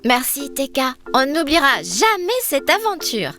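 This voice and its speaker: A woman's voice: sits high, very animated, unhurried, sharp tone, faint music behind, full character voice. A woman voicing a cheerful, curious young girl in a children's cartoon, with enthusiastic, wide-eyed delivery. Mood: explaining, friendly.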